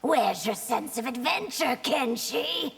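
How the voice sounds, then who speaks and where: A regal game empress, with a very husky, creaky voice pitched on the high side. She is imperious yet teasing, heard in a reverberant hall. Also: expressive, slow pace, fairly bright tone, heavily acted. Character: regal, playful, predatory fantasy empress. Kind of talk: video game fight banter.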